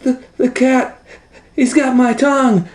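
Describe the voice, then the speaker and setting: Squeaky, alarmed little mouse done by a man. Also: slow pace, echoey room. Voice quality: high, squeaky. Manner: excitable, yelping. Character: alarmed squeaky little townsfolk mice crying out.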